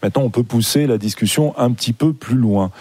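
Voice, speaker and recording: Chatty male radio host, dark dry voice. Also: medium pitch, brisk, husky, no room sound. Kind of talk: live radio interview.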